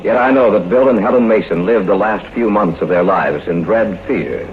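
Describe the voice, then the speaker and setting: A haunted storyteller played by a man narrates gravely in a low voice. His measured, foreboding horror delivery comes through a dull old radio recording with clear noise. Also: lightly acted. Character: solemn, haunted male storyteller mourning his best friends.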